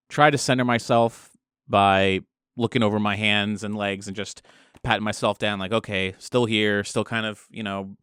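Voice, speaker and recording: A man playing a tabletop role-playing game, casually working out actions aloud in loose, halting phrases. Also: lowish voice, a bit bright, clear, resonant tone, no room sound, clean studio sound. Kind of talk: casual improvised play, describing own actions.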